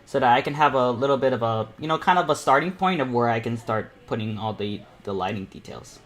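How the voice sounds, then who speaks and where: A man's voice: mid-range voice, a little fast, a bit bright. An eighteen-year-old man hosts a painting panel, talking casually and informally, rambling and thinking aloud as he works. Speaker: self-taught artist hosting a painting panel. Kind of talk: casual live painting tutorial chat.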